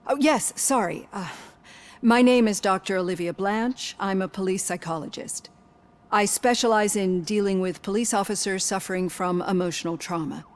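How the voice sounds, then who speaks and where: A woman's voice: medium pitch, normal speed, lightly acted. Woman playing an earnest police psychologist. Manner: polite and hesitant. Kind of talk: video game cutscene acting.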